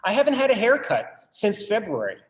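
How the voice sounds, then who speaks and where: A man acting a brooding artist and obsessive collector in a stage play. His fairly high voice muses earnestly and wryly, like a theatrical monologue. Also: huge pitch swings, fairly quick. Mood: bitter, wry.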